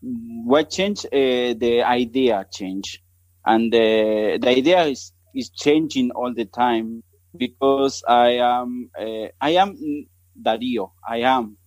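A man in his thirties from Argentina, with an Argentine Spanish accent and Spanish as his first language, speaking haltingly as a missionary guest on a podcast. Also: mid-range voice, no echo, poor audio. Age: 30s. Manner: halting, searching for words in English. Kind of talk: podcast interview.

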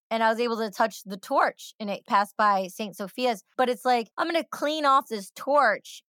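A woman's voice: medium pitch, a bit bright, clear, resonant tone, no room sound. A woman hosting a podcast, talking enthusiastically and emphatically in a rambling, casual way. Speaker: podcast host. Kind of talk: casual interview chat.

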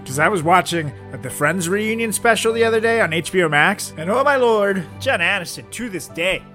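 A man's voice: high-pitched, expressive, brisk, bright, forceful tone, soft background music. A man co-hosting a podcast, chatting casually and jokingly with friends and prone to giggling. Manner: giggly, breaking into laughter. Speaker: podcast co-host.

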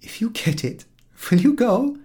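A man's voice: very high-pitched, sing-song, unhurried, dark tone, pitched above the performer's own voice, heavily acted. A man voicing a saucy young shop girl in an audiobook, pert and questioning. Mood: teasing, insistent. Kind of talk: audiobook character dialogue.

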